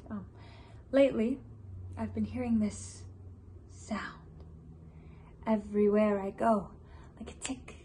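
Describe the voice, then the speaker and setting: A woman's voice: on the high side, a little hiss or hum, natural voice. A teenage woman playing an anxious, restless male musical writer nearing thirty in a confiding, dramatic stage monologue. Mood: confiding, uneasy. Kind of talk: theatrical audition monologue.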